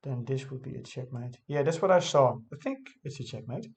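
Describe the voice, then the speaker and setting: A man's voice: mid-range voice, lively intonation, brisk, a little mellow. A man who is not a native English speaker, casually thinking aloud as he reviews his own game, hesitant and often correcting himself.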